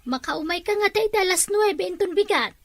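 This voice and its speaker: A gossiping village neighbor with an Ilocano accent and a high voice, chatty and quick to laugh, played by a woman. She tosses out her advice lightly between giggles. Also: dry recording. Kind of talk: comic drama acting.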